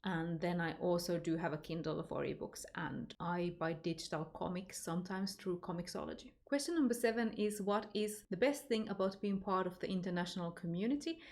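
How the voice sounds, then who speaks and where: A woman's voice: lowish voice, a little fast, few pauses, a little mellow. A woman from Finland with a Finnish accent, talking casually in a book vlog and answering tag questions with loose fillers and restarts. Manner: chatty, unscripted, with fillers and restarts. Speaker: book vlogger.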